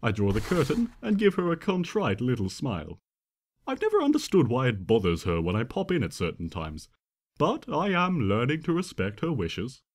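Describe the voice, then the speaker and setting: A man reading fan fiction as an expressive, theatrical first-person narration. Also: medium pitch, normal speed. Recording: long hall reverb.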